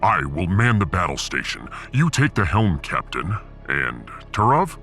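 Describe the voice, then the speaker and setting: A man performs a proud barbarian warrior aboard a starship, low-voiced and formal. He is stoic yet bellicose, with big swings of intonation and music underneath, in sci-fi radio-play style. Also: very animated, a little slow, sharp tone, heavily acted. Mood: stern. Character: proud, battle-hungry barbarian warrior serving as ship's gunner. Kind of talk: sci-fi audiodrama acting.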